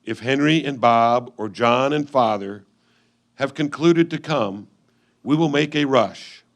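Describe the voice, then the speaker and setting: Earnest 1850s settler husband played by a man, mid-pitched, formal and measured, stage letter reading. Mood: earnest, affectionate. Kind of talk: reader's theatre letter reading.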